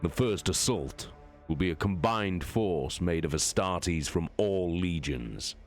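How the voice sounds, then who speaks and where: A man voicing a regal, calculating male warmaster who gives a measured, authoritative battle briefing. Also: sits low, animated, faint music behind, exaggerated voice. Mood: commanding.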